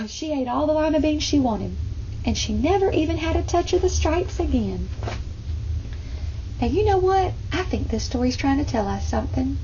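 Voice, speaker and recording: A woman with a high voice reads a children's story aloud. She is expressive and often turns to her listeners with asides. The recording sounds muffled, with clear noise in the background. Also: normal speed. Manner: engaging, asks listeners questions.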